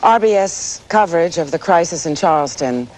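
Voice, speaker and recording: A man playing a solemn news commentator, earnest and deliberate in live crisis coverage. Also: fairly high pitch, animated, heavy background noise, natural voice. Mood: solemn, moved.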